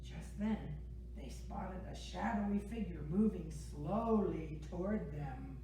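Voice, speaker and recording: A woman reading a children's picture book aloud as a library storyteller, with expressive, dramatic phrasing. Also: on the low side, slow. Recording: echoey, distant mic, faint noise.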